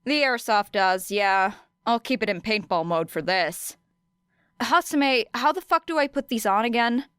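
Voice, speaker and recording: A woman's voice: fairly high pitch, expressive, a little slow, a bit bright, smooth, dry recording, studio quality, voice pushed up. A woman playing a tough, capable young girl in an audiobook, blunt, wry and matter-of-fact.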